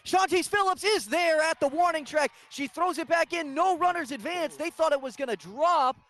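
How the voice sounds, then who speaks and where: A man doing live radio play-by-play of a softball game, describing each pitch in detail. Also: no echo.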